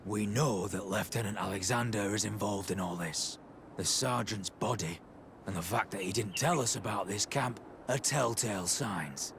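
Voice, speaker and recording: A man's voice: lowish voice, moderate pace, a bit bright, slight background noise. An actor playing a proud, stern male guard captain in a video game, formal and grave.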